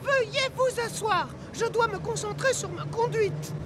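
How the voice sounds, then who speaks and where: A woman's voice: extremely high pitch, unhurried. A woman voicing a young, polite, anxious school bus in a children's cartoon, earnest and fretful. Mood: flustered, pleading. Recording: loud music behind the voice.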